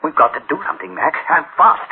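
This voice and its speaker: Worried official in a radio drama, grave n halting, kinda theatrical, thin tinny radio sound. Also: male voice, fairly high pitch, animated, creaky, husky, exaggerated voice. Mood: alarmed. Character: anxious senior official alarmed by runaway machines. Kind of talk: dramatic radio play acting. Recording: tinny band-limited radio recording.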